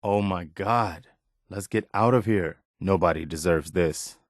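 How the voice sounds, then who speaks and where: Earnest wasteland militia companion for a video game, lowish voice, dry close recording. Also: male voice, no echo. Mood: uneasy, disgusted. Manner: earnest, measured, conversational. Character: earnest, wary militia man in a post-apocalyptic wasteland. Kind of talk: game voice acting, short spoken reactions.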